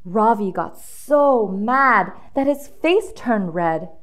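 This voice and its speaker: A woman's voice: mid-range voice, animated, slow, fairly bright tone. A woman reading a children's storybook aloud with dramatic emphasis, drawing out key words for young listeners.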